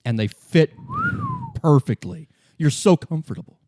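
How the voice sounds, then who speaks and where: A man running a tabletop game as game master, narrating off the cuff in a halting, informal and wry way. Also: fairly high pitch, lively intonation, dark tone, a little hiss or hum.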